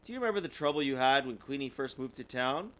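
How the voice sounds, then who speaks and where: A man voicing a gentle mother bear in a storybook, soothing and patient. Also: mid-range voice, expressive, quick pace, natural voice. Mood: gentle concern.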